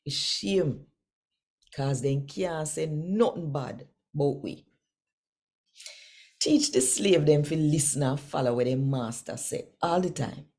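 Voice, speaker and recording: A woman with a Jamaican accent, hosting and reading scripture devotionally in a deliberate, phrase-by-phrase way. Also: low voice, lively intonation, mellow. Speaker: host and scripture reader.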